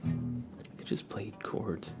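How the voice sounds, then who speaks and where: An ASMR livestream host with a soft, often whispered voice. The talk is gentle, casual chat addressed to viewers, at a slow pace. Plucked guitar music sits prominently behind the voice. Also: medium pitch. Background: plucked guitar playing.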